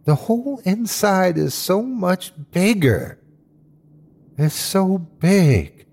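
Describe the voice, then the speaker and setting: A man acts out a dying man in a horror tale. His voice is strained and full of fry, coming slowly in short, halting bursts. He sounds anguished, caught up in something dreadful. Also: medium pitch, lively intonation, darkish tone. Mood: horrified awe. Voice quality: strained, sometimes whiny or growling. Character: dying man, frightened, awed, turning bitter and hateful. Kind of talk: dramatic horror story reading.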